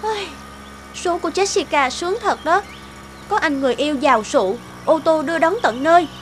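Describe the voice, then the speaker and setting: Woman acting a high-voiced, pouty young girlfriend. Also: a little fast, a little hiss or hum, natural voice. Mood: envious sulking. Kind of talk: cartoon dubbing.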